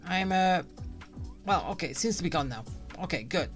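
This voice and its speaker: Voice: mid-range voice, lively intonation, normal speed. Grumbling game streamer over music. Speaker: gaming streamer. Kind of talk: casual gameplay commentary.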